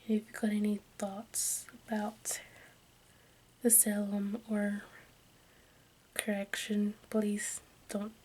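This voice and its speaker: A woman reading aloud in a breathy whisper for ASMR, haltingly and with small stumbles over the words. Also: mid-range voice, low-quality recording. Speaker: host of an ASMR channel. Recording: steady background noise, room ambience.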